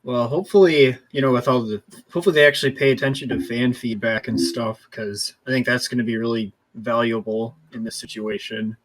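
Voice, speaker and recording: A man's voice: medium pitch, steady tone, brisk, hardly any pauses, no echo, low-quality recording. A man co-hosting a casual chat about video games. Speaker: podcast co-host.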